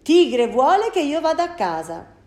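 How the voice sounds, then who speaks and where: A woman's voice: high voice, big pitch swings, measured pace, a little mellow, voice pushed up. A woman voicing a bewildered, absent-minded father in a storybook, speaking in a raised, flustered voice. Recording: roomy echo.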